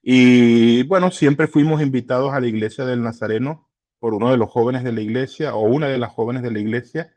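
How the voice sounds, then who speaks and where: A middle-aged man talks slowly as a guest, with many pauses and fillers. His voice sits at an ordinary pitch. It is recorded close and dry, with no room sound. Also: a little mellow, no echo. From Venezuela. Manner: unhurried, many hesitations and fillers. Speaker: church regional director, guest. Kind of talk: relaxed interview conversation.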